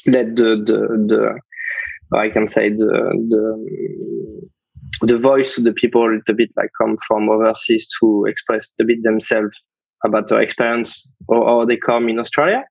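French-accented man, halting and repetitive, dry muffled sound. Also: lowish voice, vocal fry, no echo. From France. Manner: hesitant, repeats words, searching for English. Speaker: podcast guest, chef. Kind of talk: casual interview chat.

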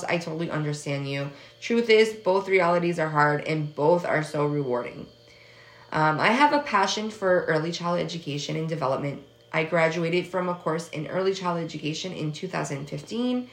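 A woman in her twenties, from the United States, with a first language of French, hosts her own podcast. Her voice sits low and carries a gravelly fry as she talks in a soft, hesitant, earnest way with frequent pauses. The recording picks up a noticeably roomy, echoing space. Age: 20s. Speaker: podcast host. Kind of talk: casual personal podcast monologue. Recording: echoey room.

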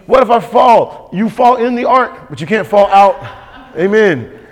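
A man's voice: high-pitched, animated, measured pace. A man preaching a sermon as pastor, emphatic and repetitive, calling for responses from the congregation. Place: church sanctuary. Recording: long hall reverb.

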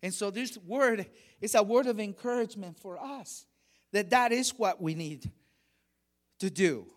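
A middle-aged man from Honduras preaching a sermon, measured and emphatic, with quieter stretches for personal stories. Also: high-pitched. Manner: measured preaching, rising emphasis on key phrases. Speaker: guest preacher.

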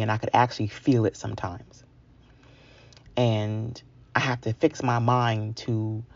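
A woman's voice: rumbling low, creaky, darkish tone, husky, highs cut off. A woman of around forty from New York, telling a personal story in a candid, conversational, confiding way. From New York, United States. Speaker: featured storyteller.

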